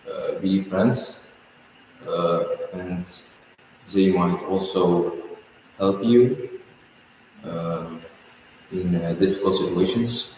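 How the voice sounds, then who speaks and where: Slow, halting teen boy. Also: deep voice, steady tone, a little mellow, slight background noise. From Kazakhstan. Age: teens. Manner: halting, many filler sounds. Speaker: student. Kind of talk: spoken English exam answers. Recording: echoey room from far off.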